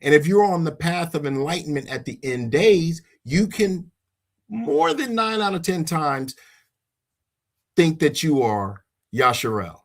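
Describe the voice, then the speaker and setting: A man's voice: fairly high pitch, lively intonation, unhurried. A man preaching informally and giving personal testimony as he leads a religious discussion, emphatic and repeating phrases for weight. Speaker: host leading a religious discussion.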